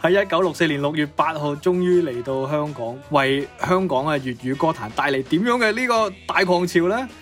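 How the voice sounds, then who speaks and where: Lively higher-pitched Cantonese man, playful. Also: a little fast, few pauses, faint music behind. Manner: playful, expressive, exclamatory. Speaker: podcast co-host. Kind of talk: casual banter.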